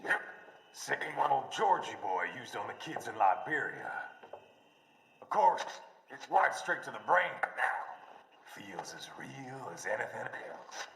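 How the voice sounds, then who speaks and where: An actor playing a crude, impatient villain with a gravelly, creaky voice and a smug sneer in a video game. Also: male voice, mid-range voice, big pitch swings, a little slow, pauses often, fairly bright tone, exaggerated voice. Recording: echoey reverberant room.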